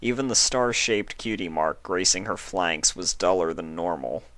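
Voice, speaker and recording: A man's voice: sits low, moderate pace, a bit bright, no echo. A man reading fan fiction aloud as scripted storybook narration. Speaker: story reader.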